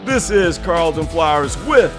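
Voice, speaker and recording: A hoarse man hosting a sports vlog, arguing his point with emphatic, call-out energy. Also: on the high side, lively intonation, measured pace, music in the background. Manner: emphatic, preachy, calls people out. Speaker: channel host. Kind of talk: fired-up sports opinion vlog.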